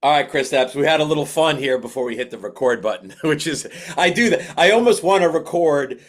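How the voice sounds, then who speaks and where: A man's voice: on the high side, expressive, fairly quick. A man hosting a podcast, chatting casually and joking at his own expense. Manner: chatty, self-deprecating, laughs easily. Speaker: podcast host. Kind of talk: casual interview chat.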